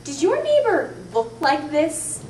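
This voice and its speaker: Peppy high-voiced teen girl in ad. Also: big pitch swings, slow, a little hiss or hum. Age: teens. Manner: bright, peppy sales pitch. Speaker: student actor in a mock ad. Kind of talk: mock vintage commercial. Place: classroom. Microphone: far camera mic. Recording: echoey room, distant camera audio.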